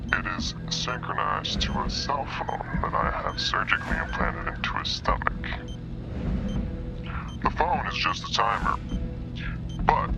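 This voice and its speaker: An actor playing a calm, sadistic killer in a crime thriller game, taunting in a measured, menacing way. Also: male voice, medium pitch, vocal fry, poor audio. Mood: smug.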